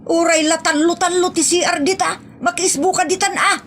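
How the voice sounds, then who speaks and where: A woman's voice: very high-pitched, fairly even intonation, normal speed, background noise. A woman with an Ilocano accent playing an exasperated, scolding wife in a rural family audio comedy.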